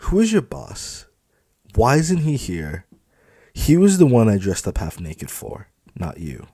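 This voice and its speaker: Man voicing a defiant, sharp-tongued young woman. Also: lowish voice, wildly swooping pitch, unhurried, gravelly, husky, no echo, studio quality, pitched above the performer's own voice, exaggerated voice. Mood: challenging. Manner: indignant, blunt, challenging. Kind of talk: dramatised audiobook dialogue.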